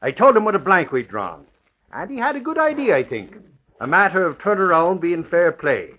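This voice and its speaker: An excitable, garrulous newspaperman in an old radio serial. His voice sits high and leaps about emphatically, on a muffled lo-fi recording. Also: moderate pace. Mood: eager. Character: excitable male newspaperman, blustery, eager. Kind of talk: 1940s radio acting.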